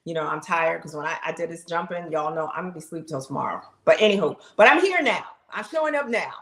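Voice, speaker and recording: A middle-aged woman streaming live, telling viewers her own story in a casual, animated way and talking straight to them. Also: low-pitched, quick pace, reverberant. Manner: animated, talks straight to the audience, exclaims, laughs. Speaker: live streamer sharing her health journey.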